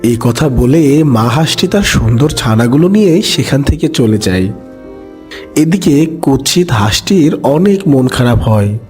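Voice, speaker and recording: A man's voice: medium pitch, animated, unhurried, a little mellow. Male storyteller with music behind. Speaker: narrator. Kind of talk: scripted children's story narration.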